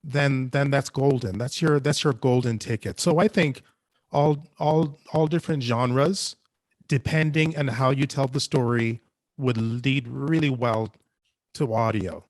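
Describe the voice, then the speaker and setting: A man talking casually as a panelist, halting and often repeating his phrases. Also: mid-range voice, no echo. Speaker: panelist, anthology podcast creator.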